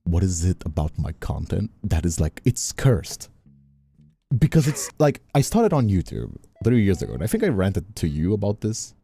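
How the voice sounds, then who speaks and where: A man's voice: deep voice, wildly swooping pitch, normal speed, vocal fry, dark tone, breathy edge, soft background music. A man from Brazil, a native Portuguese speaker, chatting casually as a livestream host in a rambling way. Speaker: streamer and voice actor, hosting.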